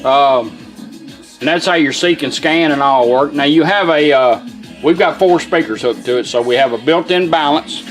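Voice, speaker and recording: A man's voice: fairly high pitch, moderate pace. A plain-spoken, folksy man giving a hands-on how-to demonstration. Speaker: product demonstrator. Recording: music from a radio playing behind.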